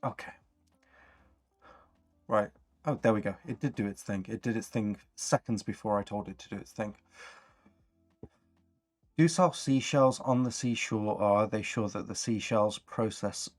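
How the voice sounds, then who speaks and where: Low-pitched man hosting a casual stream. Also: measured pace, pauses often, faint music behind. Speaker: stream host. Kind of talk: casual worldbuilding livestream chat.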